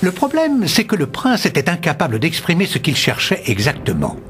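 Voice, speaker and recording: An actor playing a wry, lively storyteller narrating a cartoon fairy tale expressively. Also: male voice, on the high side, a little fast, noisy background. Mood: wry, dramatic.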